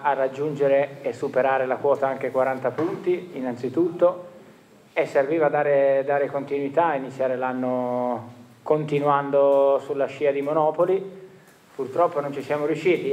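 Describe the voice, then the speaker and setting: A man in his forties, a football coach answering questions at a post-match press conference in a stadium press room, speaking in a measured, reflective way with frequent restarts. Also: mid-range voice, a little mellow. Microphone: table microphone.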